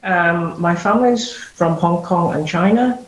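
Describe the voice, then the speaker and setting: A woman from Bradford with a Yorkshire accent, a guest investigator speaking in a wry, measured way in a casual interview. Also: on the low side. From Bradford, England. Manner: wry, self-deprecating, measured. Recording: echoey room with faint hiss.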